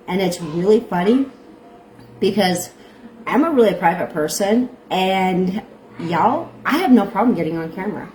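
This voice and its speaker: A woman's voice: mid-range voice, darkish tone, a little hiss or hum, rough recording. A middle-aged woman with a strong accent chatting casually on a livestream, giving bread-baking tips and greeting viewers by name. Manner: says she has a strong accent.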